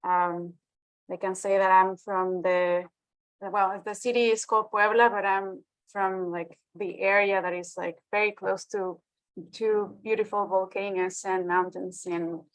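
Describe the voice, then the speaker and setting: A woman's voice: mid-range voice, level tone, unhurried, no room sound, low-quality recording. A woman from Mexico introducing herself on a video call, hesitant, with many fillers. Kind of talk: casual self-introduction.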